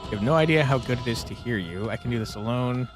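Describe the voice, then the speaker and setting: A man's voice: medium pitch, fast talker, music in the background. A man streaming a game with casual commentary, reading choices aloud and chatting chummily back to the characters. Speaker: game streamer, let's player.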